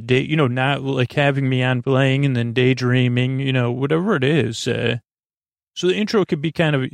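A man with a creaky voice hosting a bedtime podcast, talking in a soothing, meandering, halting monologue that often interrupts itself. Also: medium pitch, dry recording, clean studio sound. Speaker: host of a bedtime sleep podcast.

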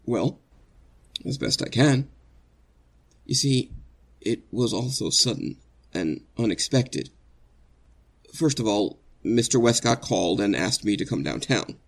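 A man's voice: medium pitch, expressive, slow, creaky, long pauses, heavily acted. Earnest, anxious male prisoner played by an actor. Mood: earnest, troubled. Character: earnest, anxious condemned prisoner protesting his innocence. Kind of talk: 1940s radio drama acting.

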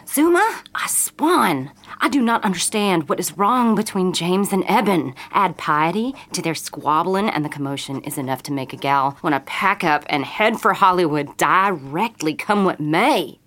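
Lively, headstrong young Southern mother. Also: on the low side, normal speed, hardly any pauses. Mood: chatty. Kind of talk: old-time radio drama acting.

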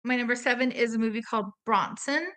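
A woman's voice: fairly high pitch, steady tone, fast, fairly bright tone. A woman guesting on a podcast, chatting casually in a breezy, unabashedly sweary way. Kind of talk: casual chat.

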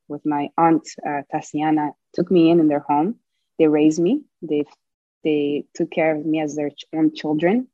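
A woman's voice: sits low, mellow, no room sound, dull audio. A woman in her twenties from Rwanda, answering interview questions earnestly with frequent restarts. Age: 20s. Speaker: podcast guest.

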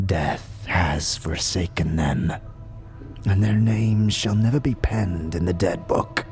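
Ancient fantasy scribe, very low pitch, slow solemn delivery, frail breathy wheeze, music underneath. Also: male voice, darkish tone. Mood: grave. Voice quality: breathy, wheezing, rattling with whispered trailing phrases. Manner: slow, solemn, archaic formal speech. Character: frail, weary, ancient undead-order scribe, solemn and contemptuous. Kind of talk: fantasy audio drama acting. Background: music behind the voice.

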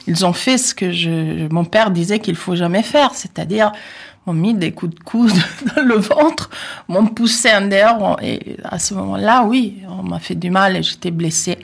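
A middle-aged woman with an Italian accent talks at length in an interview, her voice sitting in a mid range. She is chatty and reflective, pausing often with fillers. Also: medium pitch, creaky. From Italy. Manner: talkative, reflective, with frequent hesitations and soft laughs. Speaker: interview guest. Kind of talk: personal conversational interview.

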